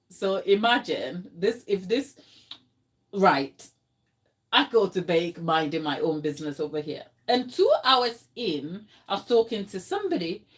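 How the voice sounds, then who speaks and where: A Ghanaian woman chatting casually in her home kitchen, telling a story with lots of fillers and asides to her viewers. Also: mid-range voice. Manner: chatty storytelling, many fillers, talks to live chat. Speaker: home baker and channel host. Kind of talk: casual chat while working in the kitchen.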